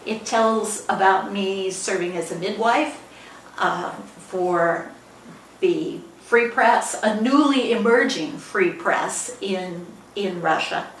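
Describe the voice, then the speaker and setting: Slow-paced older American woman, echoey. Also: medium pitch. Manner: halting, with frequent pauses mid-sentence. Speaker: guest author and former newspaper editor. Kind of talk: informal book talk. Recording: echoey room, distant mic, background noise.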